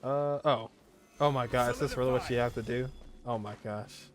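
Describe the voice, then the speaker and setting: A man's voice: mid-range voice, fairly even intonation, moderate pace. A young man giving casual gameplay commentary as a streamer, with short exclamations and muttered asides. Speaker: gaming streamer. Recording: faint game music behind the voice. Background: video game music.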